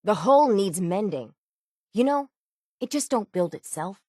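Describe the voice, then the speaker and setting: A woman voicing a gruff, stubborn, hard-bitten shipwright in a video game, with salty, plainspoken seafaring talk. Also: mid-range voice, animated, measured pace, darkish tone, dry recording, studio quality. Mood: weary, insistent. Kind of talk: video game character dialogue.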